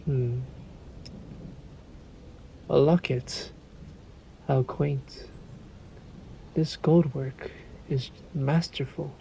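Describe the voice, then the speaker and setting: A man playing a sadistic, courtly vampire lord in a roleplay audio drama, suave and menacing, with formal, drawn-out politeness. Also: medium pitch, fairly even intonation, gravelly, dark tone, slight background noise, poor audio. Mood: coaxing, insistent. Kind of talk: scripted roleplay audio drama, addressed to listener.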